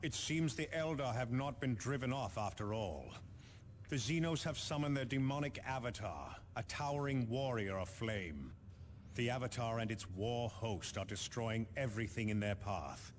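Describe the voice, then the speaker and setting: An actor playing a grave military officer, giving formal, dramatic mission briefings in a video game. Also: male voice, mid-range voice, normal speed, a little hiss or hum, lightly acted. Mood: urgent, grave.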